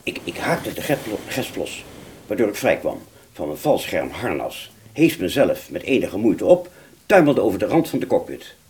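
A man playing a wounded British fighter pilot, telling his story in a radio play with a husky voice and halting, stammering delivery. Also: fairly low pitch, big pitch swings, a little mellow, heavily acted. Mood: haunted, halting. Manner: halting, stammering, repeats words. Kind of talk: first-person dramatic narration in a radio play.

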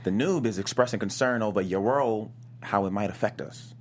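A man's voice: lowish voice, a little fast, a little hiss or hum, close to an everyday voice. A man reading a cocky, touchy young male gamer with a mocking, slangy manner in a comedy table read. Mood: dismissive.

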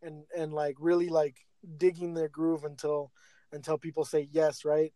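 A man's voice: fairly high pitch, flat delivery, moderate pace, warm tone, no room sound. A man, talking in a casual, filler-heavy way and dropping "like" and "man" constantly. The sound is dry and close. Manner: chatty, filler-heavy, says "like" and "man" a lot. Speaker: guest, friend helping the business. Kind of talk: casual interview talk.

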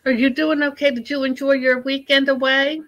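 A woman's voice: sits high, flat delivery, fairly quick, fairly bright tone, highs cut off. A woman chatting casually on a live show, rambling about her life and calling people "honey". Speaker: psychic host.

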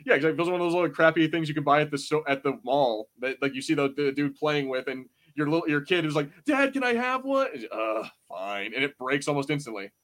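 A man in his twenties co-hosting a podcast, chatting casually in a rambling, animated, sweary way. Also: fairly high pitch, fast, hardly any pauses. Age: 20s. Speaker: podcast host. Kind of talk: casual panel chat reviewing a show.

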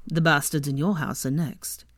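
A woman's voice: low voice, fairly even intonation, normal speed, no echo. A woman voicing a smug teenage boy schemer in a story reading, teasing and nonchalant, feigning innocence.

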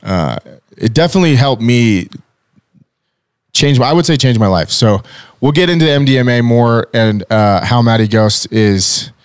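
A man in his forties hosting a casual podcast, talking loudly and energetically, joking and using fillers. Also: medium pitch, animated, moderate pace, clean tone, no echo, clean studio sound. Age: 40s. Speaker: podcast host. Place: podcast studio. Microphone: studio mic with headphones.

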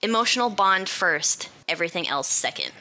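A woman's voice: medium pitch, animated, moderate pace, pushed and bright, low-quality recording. A young woman with a Minnesotan accent, telling a personal story bluntly on a group voice chat, swearing often. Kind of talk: casual chat.

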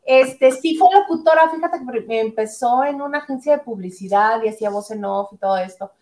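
A woman's voice: fairly high pitch, brisk, darkish tone, echoey room. A woman guest chatting casually about celebrity gossip, rambling with fillers. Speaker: guest commentator.

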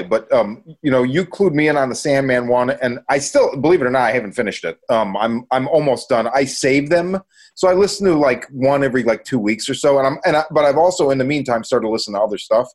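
A man's voice: mid-range voice, animated, fast talker. A man chatting casually as a podcast host, informal and opinionated in the way he talks. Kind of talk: casual chat.

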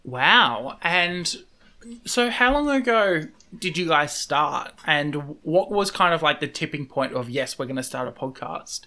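A woman's voice: deep voice, expressive, unhurried, pushed and bright. A woman interviewing a group of guests, asking rambling, encouraging questions full of fillers. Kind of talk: casual interview.

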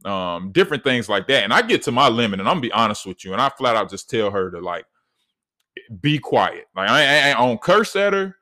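A man in his thirties with a deep voice, hosting a solo podcast and talking earnestly, with many fillers and restarts. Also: sing-song, a little fast, sharp tone. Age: 30s. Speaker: podcast host.

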